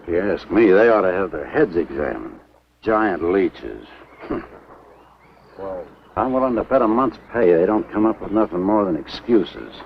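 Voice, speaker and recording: Gruff sneering small-town blusterer, very animated, old muffled film sound with faint noise. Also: male voice, sits low, normal speed, full character voice. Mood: scornful, threatening. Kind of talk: 1950s B-movie acting.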